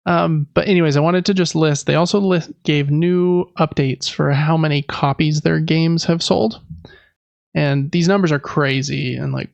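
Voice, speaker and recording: Guy doing casual podcast news, rambly, lots of fry, dry slightly muffled sound. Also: fairly high pitch, no room sound. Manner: informal, rambling, self-correcting. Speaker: podcast co-host. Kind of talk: casual gaming news chat.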